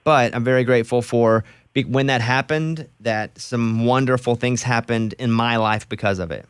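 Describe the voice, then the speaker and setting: A man's voice: medium pitch, fairly even intonation, normal speed, clean tone, dry recording. A man hosting a radio show, chatting casually and enthusiastically with a guest, often restarting his sentences. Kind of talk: casual interview chat. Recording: dry close studio mic.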